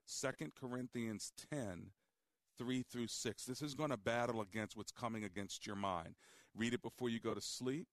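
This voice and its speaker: A man hosting a call-in radio show, speaking calmly and deliberately as he guides a caller. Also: medium pitch, no echo. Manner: calm, deliberate, guiding. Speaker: radio talk show host. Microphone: studio microphone.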